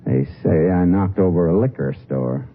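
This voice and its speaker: An American actor in a 1950s radio drama, playing a terse Texas Ranger who poses as a tough jailbird. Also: male voice, deep voice, normal speed, slight background noise. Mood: wary, gruff. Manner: terse, hard-boiled. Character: Texas Ranger posing as surly tough jailed crook. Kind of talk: 1950s radio acting and first-person narration. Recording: muffled vintage 1950s radio recording, music.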